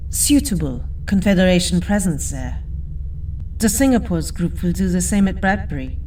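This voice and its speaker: A woman's voice: fairly low pitch, mellow, distant mic, slight background noise, natural voice, poor audio. A woman playing a stern fleet admiral who gives cold, formal war orders in a fan audio drama.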